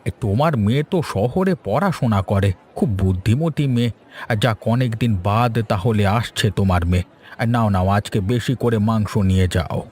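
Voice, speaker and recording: A man's voice: fairly low pitch, lively intonation, brisk, darkish tone. A man voicing a genial, chatty older village butcher in a cartoon. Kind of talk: cartoon voice acting.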